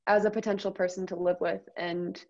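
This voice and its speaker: A woman's voice: mid-range voice, flat delivery, a little fast, vocal fry, darkish tone. Young American woman on dull audio. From Iowa and Ohio, United States. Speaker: interview guest. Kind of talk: casual storytelling.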